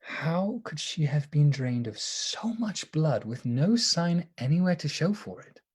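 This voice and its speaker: A man acting in a radio play as an earnest, anxious doctor devoted to the woman he loves, with a halting, emotionally open delivery. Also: fairly high pitch, very animated, darkish tone, husky, heavily acted. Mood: distraught.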